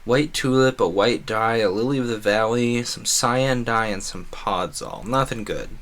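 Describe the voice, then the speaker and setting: A man's voice: fairly low pitch, expressive, a little slow, a bit bright. A man doing casual video game stream commentary, rambling playfully and thinking aloud with a self-deprecating streak.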